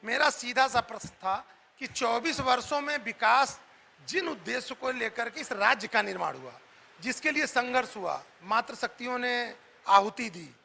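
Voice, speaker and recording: A man's voice: very high-pitched, lively intonation, normal speed, gravelly, pushed and bright. A man working as a TV reporter, questioning people in a political debate and insistently repeating himself to cut in. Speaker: TV reporter hosting a public debate. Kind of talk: street political vox-pop interviewing. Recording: reverberant, echoing sound.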